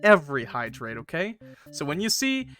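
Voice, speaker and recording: A man with a high-pitched, forceful voice is streaming a game. He banters excitably and combatively with his chat, and his pitch swings widely. Music plays behind him. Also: moderate pace. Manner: excitable, playfully combative, swearing banter with viewers. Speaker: live streamer playing a game. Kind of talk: animated casual livestream chat and game commentary.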